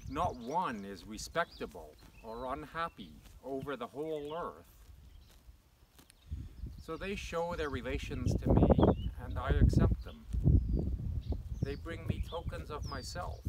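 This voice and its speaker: A middle-aged man gives a dramatic poetry recitation in a measured, declamatory way, pausing between short phrases. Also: medium pitch. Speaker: poetry reader. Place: open grassy farm paddock outdoors. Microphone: camera a few metres away. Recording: distant mic, loud outdoor wind and noise.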